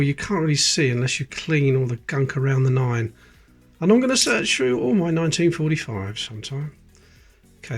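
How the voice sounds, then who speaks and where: A British man with a fairly high voice chats casually as he sorts coins at a table, calling out dates one after another. Faint music plays behind him. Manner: chatty, reads out dates as he goes. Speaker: coin collecting channel host. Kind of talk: casual commentary while sorting coins. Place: indoors at a table. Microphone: close camera, hands-only framing.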